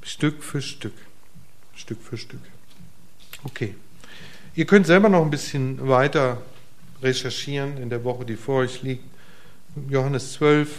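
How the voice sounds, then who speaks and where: A middle-aged man gives a relaxed sermon in a church, speaking straight to the people in front of him. His voice sits in a middle pitch, and he swings between emphatic, raised bursts and quiet, soft asides, often asking his listeners questions. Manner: casual, conversational preaching with rhetorical questions to the congregation. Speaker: preacher. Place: church hall before a congregation.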